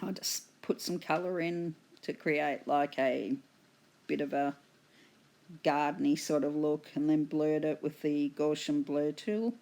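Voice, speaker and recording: A woman with an Australian accent, hoarse from a cold, patiently explaining her painting steps in a tutorial voice-over. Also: sits low, fairly even intonation, warm tone. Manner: slow, patient explaining with fillers. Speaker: art instructor.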